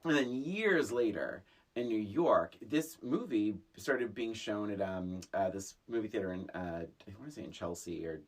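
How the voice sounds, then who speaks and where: A middle-aged American podcast co-host chatting casually about film in an animated, halting, emphatic way, with a husky voice. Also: mid-range voice.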